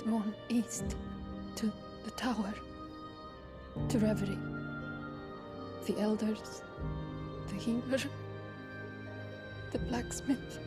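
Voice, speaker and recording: An actress playing a haunted, weary village wife in a fantasy game, halting and sombre. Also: female voice, medium pitch, steady tone, loud music behind the voice, lightly acted. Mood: haunted, sorrowful. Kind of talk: cinematic fantasy game acting.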